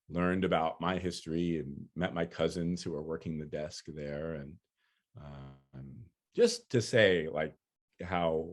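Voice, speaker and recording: Low-voiced man, relaxed and rambling. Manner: relaxed, many "you know" fillers, laughs easily. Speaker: featured speaker, poet. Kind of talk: casual talk and Q&A.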